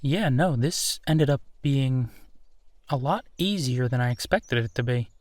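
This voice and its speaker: A man playing an easygoing, playful werewolf boyfriend in a roleplay audio, talking casually and haltingly with a self-deprecating streak. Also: mid-range voice, animated, dry recording, close to an everyday voice. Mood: casual, chatty. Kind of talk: intimate boyfriend roleplay audio, improvised-sounding one-sided conversation.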